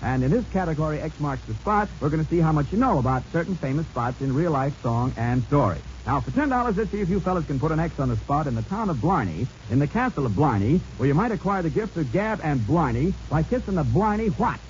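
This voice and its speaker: A man's voice: a little high, very animated, quick pace, few pauses, a little hiss or hum. An American man hosting an old-time radio quiz show with folksy, chatty, teasing banter, on a muffled vintage recording. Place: radio studio show with audience.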